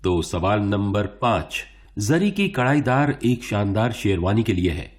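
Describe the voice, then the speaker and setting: A lively, dramatic quiz show host. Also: male voice, lowish voice, unhurried. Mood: upbeat, suspenseful. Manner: theatrical, suspense-building announcing. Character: suave adult male quiz show host, dramatic and encouraging. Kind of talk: radio play quiz show presenting. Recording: music bed under the speech. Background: music.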